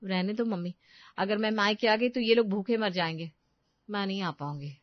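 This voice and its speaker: A woman's voice: mid-range voice, normal speed, no room sound, highs cut off, natural voice. A woman as young daughter-in-law, complaining, sarcastic, self-important, family story, dry close sound, dulled recording. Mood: self-pitying. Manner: sarcastic, put-upon grumbling. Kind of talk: Hindi family story acting.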